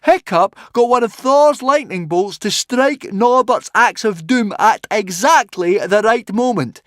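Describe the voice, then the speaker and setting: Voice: mid-range voice, very animated, a little slow, no room sound, clean studio sound, pitched above the performer's own voice. A narrator voicing an earnest, hot-headed Viking boy who argues insistently. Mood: indignant. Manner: insistent, argumentative.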